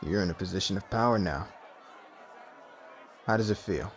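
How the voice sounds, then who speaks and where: A man's voice: low voice, normal speed, some chatter behind, a little hiss or hum, lightly acted. A man playing a cocky, teasing gang leader in an ASMR roleplay, smug and fearless, with a flirtatious streak. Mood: mocking, taunting.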